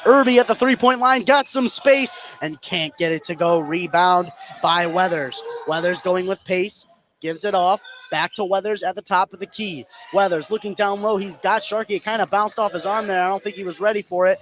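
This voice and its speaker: High-voiced man calling live basketball. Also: moderate pace, a little hiss or hum. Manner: excitable, follows the action in short running phrases. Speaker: play-by-play sports commentator. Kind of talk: live high school basketball play-by-play commentary.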